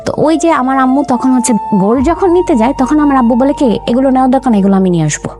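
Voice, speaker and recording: A young woman with a higher-pitched voice, speaking as an interview guest. She tells a personal family story earnestly, slipping into her parents' words as she goes. A clear music bed plays beneath her voice. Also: lively intonation, fast talker. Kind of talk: recounting a life story.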